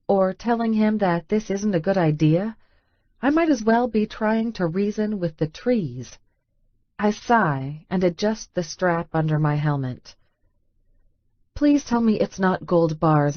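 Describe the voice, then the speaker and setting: A woman's voice: on the low side, dry recording. A woman reading a scripted first-person romance audiobook, with slightly muffled sound. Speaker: audiobook narrator.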